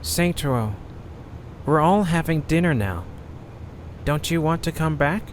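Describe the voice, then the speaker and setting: A man voicing a small, clumsy male creature with a propeller, speaking softly and earnestly in an amateur audio drama. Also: on the high side, animated, measured pace, slight background noise. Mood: caring.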